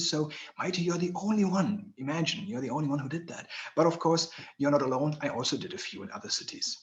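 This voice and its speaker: A man from Germany, speaking English as a while hosting a live online tour over a video call, chatty and friendly with many fillers. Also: on the high side. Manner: friendly, chatty, slightly non-native phrasing with fillers. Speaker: tour guide and host.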